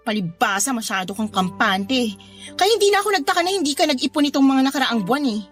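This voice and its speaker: An actress playing a worried, exasperated pregnant young wife arguing in a radio drama. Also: female voice, high-pitched, sing-song, fast talker, fairly bright tone. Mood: reproachful. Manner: exasperated, scolding, argumentative. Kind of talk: Tagalog radio drama acting. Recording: music bed behind the voice.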